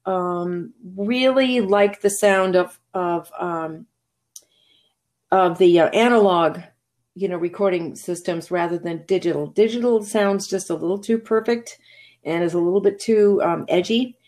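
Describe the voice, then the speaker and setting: A woman's voice: fairly low pitch, measured pace, a little mellow. A middle-aged woman voice actor from New England, answering interview questions in an articulate, chatty way with frequent restarts. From New England, USA. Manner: articulate but chatty, many restarts and laughs. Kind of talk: relaxed conversational interview.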